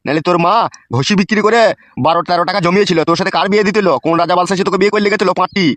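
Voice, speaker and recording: A man's voice: sits high, very fast, fairly bright tone, no room sound. A man voicing an indignant, foul-mouthed old village husband in a comedy cartoon, ranting. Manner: coarse, ranting.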